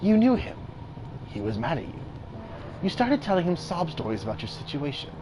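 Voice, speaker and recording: A cold, menacing woman with a raspy, androgynous voice, put on by a man reading a story game aloud. Her tone is hateful and patronizing, pushed well above the reader's own pitch. A steady hiss of noise sits under the recording. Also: normal speed. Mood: mocking.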